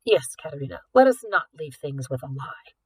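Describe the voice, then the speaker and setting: A woman's voice: deep voice, big pitch swings, slightly rough, dry recording, pitched below the performer's own voice, exaggerated voice. Woman reading as a suave, formal male art collector. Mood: indulgent, persuasive. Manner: formal, measured, indulgent. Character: suave older male wealthy art collector, smugly courteous.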